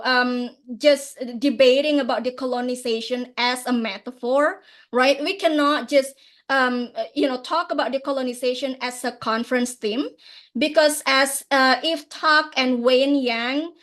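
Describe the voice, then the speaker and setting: A woman with an Indonesian accent speaks in a high, bright register. She delivers a fervent academic conference talk with conviction. She keeps checking in with the audience with "right?" as she goes. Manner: passionate, often ends points with "right?".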